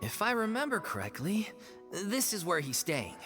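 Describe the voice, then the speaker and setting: A woman's voice: mid-range voice, very animated, normal speed, music in the background, close to an everyday voice. An actress voicing an upbeat young woman in a video game, friendly and coaxing.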